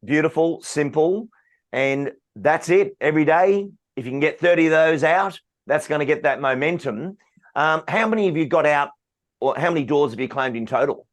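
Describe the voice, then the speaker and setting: Casting: male interviewer, bright, a bit high-pitched, polished and upbeat. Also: normal speed. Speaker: interview host, sales trainer. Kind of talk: hosted business interview.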